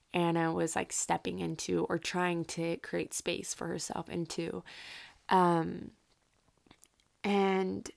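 A woman's voice: mid-range voice, vocal fry, darkish tone. A woman hosting a solo podcast, telling history in a casual, informal way with rambling asides and self-interruptions. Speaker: podcast host.

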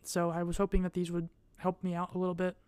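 A young adult woman vlogging in a casual, rambling way. She speaks in a monotone and hesitates often, with many fillers. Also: on the low side, quick pace, dry recording.

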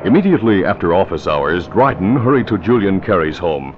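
A man's voice: deep voice, sing-song, fairly quick, background noise. A man announcing and narrating in 1940s radio style, with emphatic, dramatic delivery. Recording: dull vintage radio recording, faint music.

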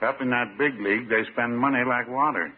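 Casting: male actor as mild small-town American druggist, dry humor, medium pitch, thin old radio comedy sound. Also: fairly quick, husky, close to an everyday voice. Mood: deadpan. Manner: dry, deadpan, folksy. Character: droll, deadpan small-town druggist, mild married man. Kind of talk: classic radio comedy acting. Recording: tinny, noisy old radio recording.

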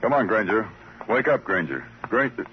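Casting: hard-boiled radio detective, deep voice, dry and clipped delivery, muffled vintage sound. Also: male voice, big pitch swings, normal speed, noisy background. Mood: urgent, grim. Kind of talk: 1950s radio crime drama acting and narration. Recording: dull vintage radio recording.

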